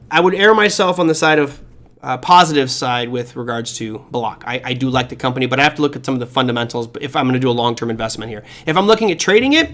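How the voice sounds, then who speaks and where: A man's voice: on the high side, a bit bright. Chatty man hosting a trading show. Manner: chatty, rambling, self-deprecating. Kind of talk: live market commentary answering viewer questions.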